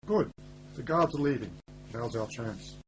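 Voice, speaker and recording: A man's voice: mid-range voice, animated, a little slow, lightly acted, poor audio. A man playing an eccentric, wry older time traveller in a radio play, with a whimsical, theatrical delivery. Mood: sly, conspiratorial. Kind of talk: hobby radio play acting. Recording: amateur radio play under loud music.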